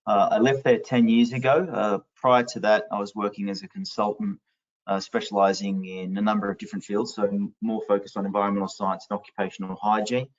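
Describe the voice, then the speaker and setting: A low-voiced man with an Australian accent works through facts and definitions at an even, explanatory pace. The sound is dull and lo-fi, and he sits a little back from the mic. It is a video call from a home office. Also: fairly quick. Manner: fact-heavy, explanatory, steady. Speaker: interviewee, sustainability executive. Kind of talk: webinar interview answering. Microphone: headset. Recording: lo-fi distant video call audio.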